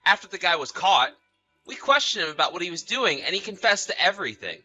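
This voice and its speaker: A man's voice: high-pitched, sing-song, fast, bright, forceful tone, faint music behind, exaggerated voice, poor audio. A man playing a betrayed husband in an acted audio drama, bitter, sarcastic and accusatory. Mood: coolly taunting. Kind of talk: acted audio story dialogue and narration.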